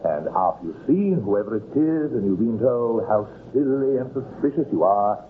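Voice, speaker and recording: An actor playing a smooth, scheming criminal husband who blusters and patronizes in an old radio drama. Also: male voice, medium pitch, expressive, normal speed, natural voice. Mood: patronizing. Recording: tinny, noisy old radio recording.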